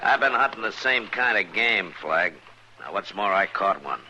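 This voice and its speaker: A man playing a stern, steady frontier marshal in a western radio drama, speaking in a firm, terse, authoritative way. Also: lowish voice, moderate pace, lightly acted. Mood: firm, accusing. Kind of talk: old-time radio western drama acting. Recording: dull, lightly noisy old radio recording.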